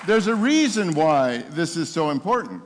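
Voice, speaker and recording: A seventy-year-old man preaching with personal testimony, emphatic and animated, repeating phrases for effect. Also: fairly high pitch, moderate pace, some chatter behind. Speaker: pastor preaching to his congregation. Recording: long hall reverb.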